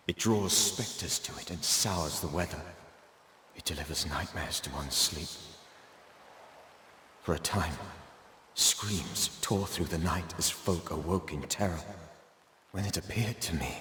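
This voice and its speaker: An actor playing the ghost of a puritan reverend in a game, solemn and pleading, with deliberate archaic phrasing. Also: male voice, deep voice, bright, forceful tone, slight background noise, exaggerated voice. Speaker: reverend and mentor. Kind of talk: dramatic game cutscene acting. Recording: echoey with long reverb, music behind.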